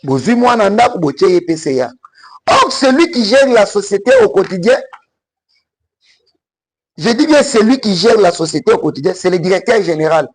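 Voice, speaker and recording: A man's voice: sits high, sing-song, moderate pace. A Congolese man with a Congolese French accent, giving emphatic political commentary in French and Lingala. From Congo (DRC).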